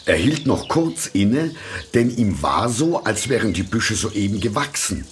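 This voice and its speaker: Slow, low-voiced male storyteller. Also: a little hiss or hum. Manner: measured, expressive storytelling. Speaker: narrator. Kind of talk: scripted fairy-tale narration.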